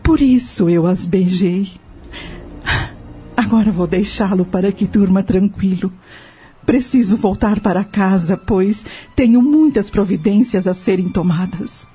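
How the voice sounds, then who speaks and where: A woman's voice: mid-range voice, a little slow, warm tone, breathy edge. An actress playing a grateful, kindly woman in a radio drama, tender and solemn. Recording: dull lo-fi radio recording with music.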